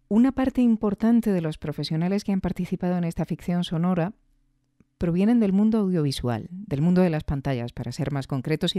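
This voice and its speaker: Woman, accent from Spain, podcast host, warm relaxed timbre, dry sound, reflective commentary, emphatic. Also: fairly low pitch, no echo. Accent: Spanish from Spain. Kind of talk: reflective solo commentary on audio fiction.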